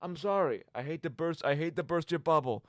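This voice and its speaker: A man's voice: fairly high pitch, a little fast, no room sound. A young man hosting a casual, rambling radio show in a playful, mock-dramatic, tongue-in-cheek manner. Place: radio studio. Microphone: studio microphone.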